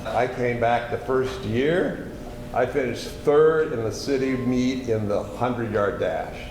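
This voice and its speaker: A man's voice: medium pitch, slow. An older man, a former camper, telling his personal story. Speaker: former camper giving a testimonial. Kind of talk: personal reminiscence to a group. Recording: echoey room with clear background noise.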